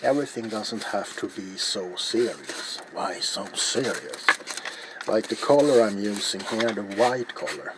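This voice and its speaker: Slow, low-voiced man narrating crafts. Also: animated. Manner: rambling, halting, repeats words. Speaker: host of a craft video channel. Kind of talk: casual hushed ASMR craft demonstration. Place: small cluttered indoor workshop. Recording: noisy room ambience.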